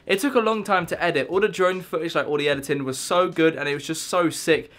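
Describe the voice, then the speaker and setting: A young man talking casually to the camera in a daily vlog, his voice croaky from a sore throat, chatty and upbeat with lots of fillers. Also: on the high side, fast talker, a bit bright. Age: young adult. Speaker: vlogger. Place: bedroom, then outdoors at skate park and street.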